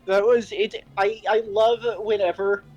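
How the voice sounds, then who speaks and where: A co-host of a fan fiction reading show chatting casually, rambling and halting through jokes and critique. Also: medium pitch, muffled recording. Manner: rambling, halting, animated joking. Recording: music playing underneath. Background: music.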